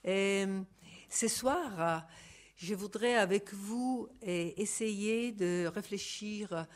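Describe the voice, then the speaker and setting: A middle-aged Italian woman speaking French as a second language, opening an academic lecture in a careful, halting way. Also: mid-range voice. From Italy. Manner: careful, halting, apologetic about her French. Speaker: guest lecturer.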